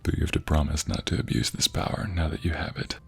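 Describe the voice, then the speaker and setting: A man playing a doting, tender husband in an intimate ASMR roleplay, speaking softly and often slipping into breathy whispers. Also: rumbling low, little pitch movement, quick pace, a little hiss or hum, heavily acted. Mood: cozy, playful. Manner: soft, breathy, lingering delivery dropping into whispers. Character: doting, tender husband comforting his sweetheart.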